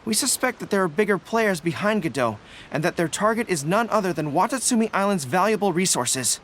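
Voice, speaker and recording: Polite young general in a game, high-ish voice, formal and sincere. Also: male voice, lively intonation, a little fast, a bit bright, a little hiss or hum. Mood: earnest, formal. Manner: formal, courteous and earnest.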